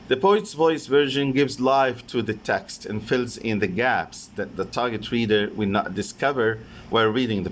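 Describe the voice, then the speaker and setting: An Egyptian-accented man, a native Arabic speaker, gives a conference lecture in a mid-pitched voice. He speaks haltingly, stopping and repeating himself as he works through his academic points. Faint noise sits behind his voice. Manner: halting, hesitant delivery with repeated phrases. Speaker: professor presenting an academic paper.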